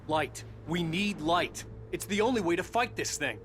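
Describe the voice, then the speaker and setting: Terse, driven thriller hero, slightly high. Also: male voice, lively intonation, normal speed, fairly bright tone, soft background music. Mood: grim, determined. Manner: terse, serious, dry. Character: intense, terse thriller writer hero, adult man. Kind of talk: video game cutscene acting.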